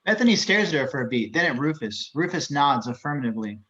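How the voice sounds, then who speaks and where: A man's voice: fairly high pitch, big pitch swings, fast talker, a bit bright. A man narrating the action lines of a script at a table read. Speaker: stage-direction narrator. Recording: dull lo-fi home mic.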